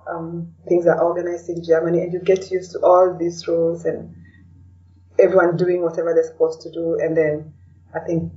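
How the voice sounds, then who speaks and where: A distant, muffled recording of a woman with a Kenyan accent and a lowish, very mellow voice. She speaks conversationally and reflectively, pausing and restarting as she thinks through her answers. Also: little pitch movement. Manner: reflective, hesitant, often adding "you know". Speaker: podcast guest, blogger and podcaster. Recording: distant mic, dull lo-fi audio.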